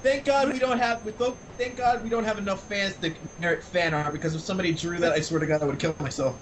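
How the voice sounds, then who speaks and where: A man's voice: sits high, fairly even intonation, fast, slight background noise, rough recording. A man making casual, jokey comments on a livestream reading.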